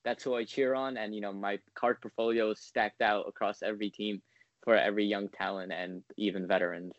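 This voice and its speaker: A man's voice: on the low side, steady tone, fairly quick, dry recording, muffled recording. A man in his twenties, a guest in a casual interview, talking in a rambling way full of ums and likes. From United States. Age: 20s.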